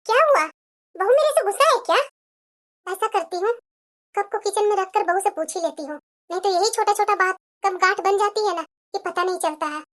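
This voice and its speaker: A woman playing an older, caring and wounded mother-in-law in a scripted family story, speaking gently and plaintively. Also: extremely high pitch, sing-song, fast talker, heavily acted. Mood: worried, musing. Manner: gentle, lamenting.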